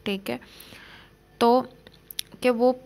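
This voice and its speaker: A woman lecturer explaining law for exam students, reading out legal definitions slowly and then explaining them in plain terms. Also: on the high side, fairly even intonation, vocal fry, darkish tone.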